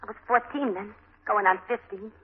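A woman's voice: medium pitch, moderate pace, husky, lightly acted. Actress as a worn-out New York slum woman, tinny radio. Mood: wistful. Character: worn-out, streetwise slum woman, gangster's old sweetheart, wistful and sad. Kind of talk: 1940s radio acting. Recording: noisy, muffled old radio broadcast.

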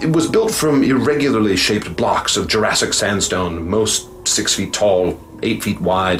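A man's voice: on the low side, fairly bright tone, a little hiss or hum, lightly acted. An actor playing a male professor and explorer who narrates his discovery in earnest, dramatic tones, in a radio drama. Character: middle-aged male professor, awed, driven explorer. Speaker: narrator and lead character. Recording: music bed behind the voice.

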